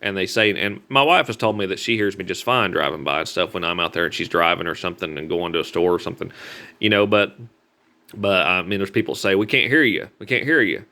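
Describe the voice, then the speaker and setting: A man with a Southern American accent talking informally about his own experience in a halting, repetitive, earnest way with folksy grammar. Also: deep voice, gravelly, bright, forceful tone. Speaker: street preacher. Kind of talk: informal personal vlog talk.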